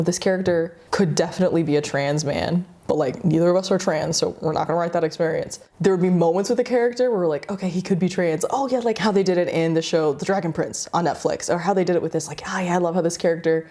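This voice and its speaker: A woman's voice: lowish voice, steady tone, quick pace, few pauses, mellow. A woman interviewer talking casually, chatty and effusive with her guest. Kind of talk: casual chat.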